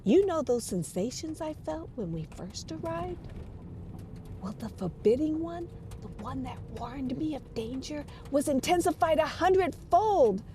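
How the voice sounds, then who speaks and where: A woman's voice: sits high, expressive, a little slow, dark tone, a little hiss or hum. A woman playing an earnest, easily frightened magazine reporter in an audio drama, polite and theatrically emotive. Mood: uneasy.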